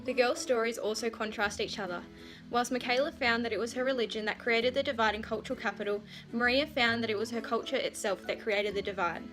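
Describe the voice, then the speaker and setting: A woman's voice: fairly high pitch, fast talker, a bit bright, soft background music. A young Australian woman, a university student, narrating for a class video in an earnest, chatty way.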